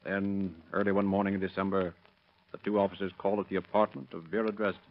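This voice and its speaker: An actor playing a grave, portentous male narrator of a 1930s radio crime drama, solemn and measured. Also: sits low, level tone. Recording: dull lo-fi old radio recording.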